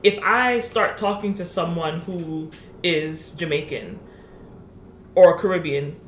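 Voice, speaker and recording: A woman's voice: lowish voice, takes it slowly. A woman from New York co-hosts a podcast in loose, casual chat. She talks emphatically and animatedly, restarting her sentences often. The room is roomy and echoey, with a faint hiss of noise underneath. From New York, USA. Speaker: podcast co-host.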